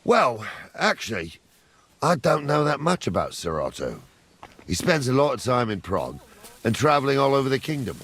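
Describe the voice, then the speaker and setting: An actor playing a gruff, fatherly middle-aged captain of the guard, guarded and plain-spoken, with a husky voice, in a medieval game. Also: mid-range voice, big pitch swings, moderate pace, fairly bright tone, heavily acted. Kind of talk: video game dialogue acting.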